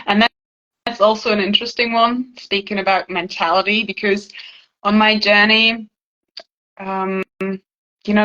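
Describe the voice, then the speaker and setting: A woman's voice: mid-range voice, sharp tone, muffled recording. A woman from Germany, a native German speaker, talking reflectively and casually, searching thoughtfully for her words.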